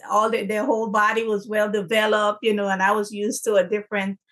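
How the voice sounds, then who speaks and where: A woman's voice: mid-range voice, little pitch movement, a little fast, fairly bright tone. A woman from Guyana, a guest on an interview show, telling her story in a chatty, loose way. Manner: chatty, many ums and you-knows, laughs easily. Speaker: guest, legacy coach. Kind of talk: casual interview.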